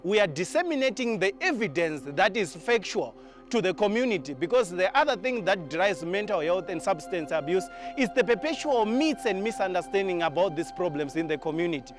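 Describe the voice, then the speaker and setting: A man's voice: high-pitched, animated, a little fast. A man with a Zimbabwean accent explaining project work in an earnest, formal way. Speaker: project team member. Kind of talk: interview explanation. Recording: music under the voice.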